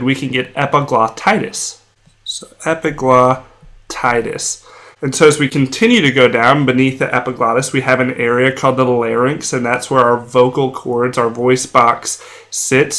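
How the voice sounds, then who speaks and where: A young man with a heavily congested, nasal voice gives a drawn whiteboard lesson, sounding feverish and sore-throated. He explains in a plain, steady way and slows down to spell out each medical term. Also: medium pitch. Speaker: medical educator. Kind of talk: whiteboard lecture while drawing.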